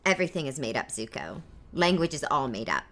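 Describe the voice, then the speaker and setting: A woman's voice: very deep voice, big pitch swings, fairly quick, fairly bright tone, heavily acted. A woman playing a haughty, teasing young princess who needles and mocks in improvised comedic banter. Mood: smug, mocking. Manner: condescending, mocking.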